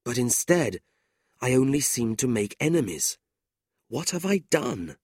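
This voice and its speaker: A narrator voicing an earnest, troubled young gentleman teacher in a storybook, polite and sincere. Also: medium pitch, big pitch swings, unhurried, breathy edge, no echo, studio quality, voice pushed up. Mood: dejected.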